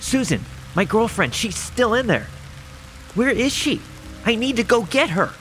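Horror radio play: shaken young survivor, halting, pleading, very animated pitch, prominent music and rain behind. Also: male voice, full character voice, low-quality recording. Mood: anxious, pleading. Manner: halting, repeating himself, pleading. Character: terrified, dazed young man rescued from a horror. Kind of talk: horror audio drama acting.

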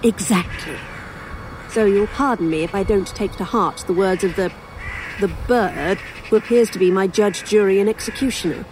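A woman's voice: mid-range voice, moderate pace, lightly acted. An actress plays a weary young woman writer in an audio drama, sardonic and dry. Her delivery is that of someone worn down and unimpressed. Faint outdoor noise and cawing sit behind her voice. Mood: sardonic, bitter. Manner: dry, sarcastic, hesitant. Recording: faintly noisy outdoor sound bed. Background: crows cawing, outdoor ambience.

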